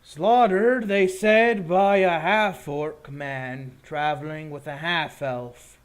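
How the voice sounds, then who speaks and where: Menacing town enforcer, acted by a male game master: cruel, scornful, deliberate and slow, slightly high male voice. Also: steady tone, smooth. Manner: slow, taunting, threatening delivery. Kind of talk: tabletop roleplay villain dialogue.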